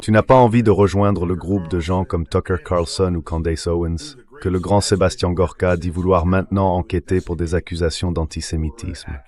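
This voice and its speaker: A man's voice: low voice, steady tone, normal speed, few pauses, darkish tone. A man's synthetic dubbing voice giving political commentary as an interview guest.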